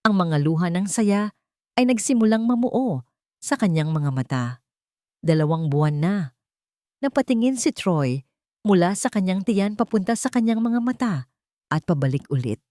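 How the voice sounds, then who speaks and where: A woman's voice: medium pitch, expressive, normal speed, pauses often, warm tone, dry recording, studio quality. A woman reading a scripted romantic story in a dramatic, sentimental way. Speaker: story narrator.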